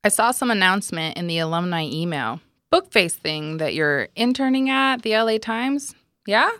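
A gentle, teasing old professor talks slowly to a former student, his pitch swinging freely as he jokes, as a woman narrator puts him on. The sound is close and dry, with no room around it. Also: expressive, no echo, natural voice. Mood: fond. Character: older male professor, kindly and teasing.

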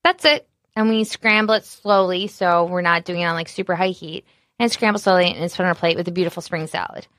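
Loud-voiced woman chef chatting away, bright punchy tone, dry close sound. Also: fairly low pitch, fast talker, no echo. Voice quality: naturally loud voice. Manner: animated, candid, many fillers. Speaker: executive chef. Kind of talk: casual interview conversation.

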